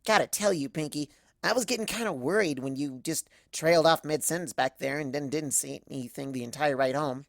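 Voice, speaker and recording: A woman's voice: deep voice, fairly quick, dry recording, clean studio sound, voice pushed down. A woman voicing a casual, sceptical young female pony with an offhand, slangy way of talking, in a story reading. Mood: offhand, doubtful.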